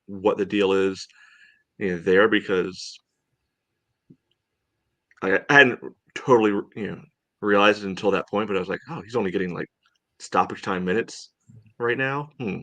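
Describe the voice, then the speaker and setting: A man co-hosting a podcast, casually analysing soccer in a halting way, often saying "you know". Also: on the low side, sharp tone, no echo. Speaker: podcast co-host.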